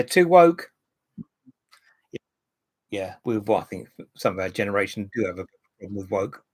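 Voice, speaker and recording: A man in his late fifties hosts a relaxed live stream, rambling from one topic to the next and picking up viewers' comments as they come in. His voice is slightly husky, and the sound is close and dry. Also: on the low side, no room sound, poor audio. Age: 50s. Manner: rambling, chatty, reads and answers viewer comments. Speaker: livestream host. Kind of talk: casual live-stream chat.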